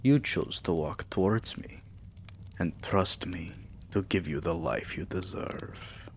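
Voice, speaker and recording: Guy doing a doting possessive vampire king for asmr roleplay, low gravelly voice, soft breathy whispers, noisy background. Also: takes it slowly. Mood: tender, devoted. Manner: soft, breathy, courtly endearments. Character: tender, possessive male vampire king doting on his human pet. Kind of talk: intimate roleplay ASMR, often dropping to a whisper.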